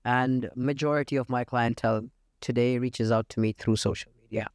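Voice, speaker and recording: A man from India, a real estate agent talking as a podcast guest, confident and matter-of-fact with frequent pauses. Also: medium pitch, steady tone, smooth, no room sound, clean studio sound. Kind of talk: casual interview talk. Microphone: podcast studio mic.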